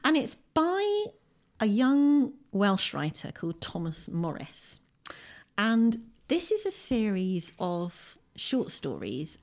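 A woman with a British accent and a fairly low voice, recorded in a home. She speaks as a guest in a book chat, with keen, emphatic enthusiasm, and slips into reading aloud. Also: darkish tone. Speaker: guest writer, poet. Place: a room in a home.

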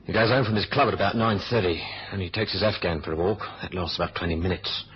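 An actor playing a cool, businesslike British kidnapper in a 1970s radio drama, terse and matter-of-fact. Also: male voice, low-pitched, fast, lightly acted. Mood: cool, scheming. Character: cool, businesslike male kidnapper plotting quietly. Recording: dull, noisy old radio recording.

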